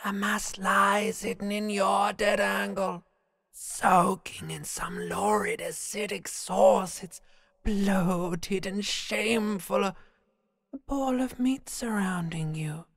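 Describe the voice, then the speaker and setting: A sinister inner voice of the ancient brain, close and dry with a long reverberant tail. It hisses and sneers in a raspy, strained half-whisper, dragging words out very slowly and theatrically, morbid and tormenting, like a video game monologue. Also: male voice, high-pitched, fairly even intonation, fairly bright tone, heavily acted. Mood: creeping disgust. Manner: slow, theatrical, drawn-out, swelling from whispers to cries.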